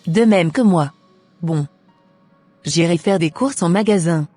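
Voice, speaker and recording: A woman's voice: lowish voice, measured pace, warm tone, no echo, soft background music. A woman voicing a trusting, kindly young wife in a scripted cartoon, polite and earnest. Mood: contented.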